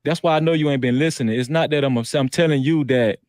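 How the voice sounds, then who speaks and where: A man with a fairly high voice argues on an online video call, talking over others and repeating "listen" again and again. He sounds defensive, and the audio is close and completely dry. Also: fast, darkish tone, dry recording. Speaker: panelist. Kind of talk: heated online panel argument.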